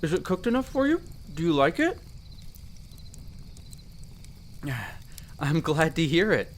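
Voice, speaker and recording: Man playing a lively, friendly elf explorer. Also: a little high, moderate pace, slight background noise. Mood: relaxed, content. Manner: easygoing, explanatory, conversational. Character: friendly chatty male elf explorer, eager magic mentor. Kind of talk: roleplay audio drama, addressing the listener directly.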